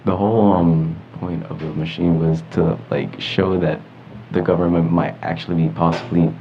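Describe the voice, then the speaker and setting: A man's voice: sits low, level tone, normal speed, a little hiss or hum. A teenage male podcast panelist chatting casually in a joking, slangy way. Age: teens. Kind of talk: casual chat. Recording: dull lo-fi recording.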